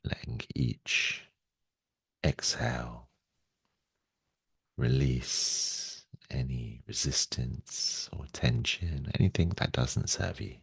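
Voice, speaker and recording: Very deep, gravelly man guiding meditation. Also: dry recording. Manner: gentle, soothing, with long pauses. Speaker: meditation guide. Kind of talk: guided meditation, spoken slowly and softly.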